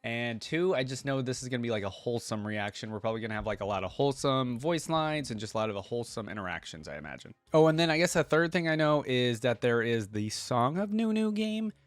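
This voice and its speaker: A man's voice: medium pitch, animated, quick pace, hardly any pauses, no room sound. A man hosting a reaction video, speaking in a casual way as he comments and reads aloud.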